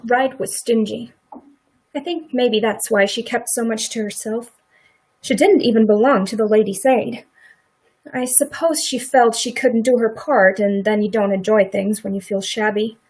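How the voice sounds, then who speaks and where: A woman in a radio play acting a middle-aged farm wife who is sympathetic, quietly indignant and plain-spoken in a folksy rural way. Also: a little high, steady tone, normal speed, slightly rough, poor audio. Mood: sympathetic, thoughtful.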